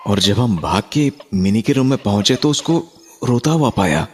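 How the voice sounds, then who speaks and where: A man telling a horror story in the first person, suspensefully, with drawn-out dramatic pauses. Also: on the low side, lively intonation. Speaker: narrator of a horror story, voicing its main character. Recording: music behind the voice. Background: music.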